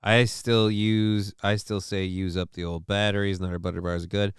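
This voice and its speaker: A man's voice: on the low side, little pitch movement, moderate pace, smooth, dry recording, studio quality. A man from Minnesota hosting a casual live show, rambling and swearing while he answers the chat, with lots of restarts and fillers.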